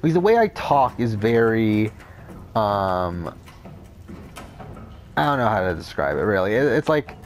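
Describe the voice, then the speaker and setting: A young man with a cold, rambling through casual gameplay commentary, talking off the top of his head with a deep, unclear voice and frequent swearing. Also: very animated, unhurried, pauses often. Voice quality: deep, not clear. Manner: rambling, unscripted, says whatever comes to mind, swears often. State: has a cold. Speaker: Let's Play commentator.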